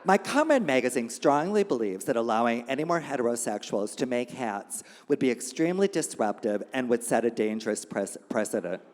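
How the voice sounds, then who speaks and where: A man's voice: medium pitch, animated, a little fast, hardly any pauses. Man hosting in a reverberant hall. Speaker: host and reader at a live reading event. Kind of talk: live event hosting and reading of a satirical editorial. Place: indoor gallery event space. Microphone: handheld event microphone. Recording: reverberant hall live recording.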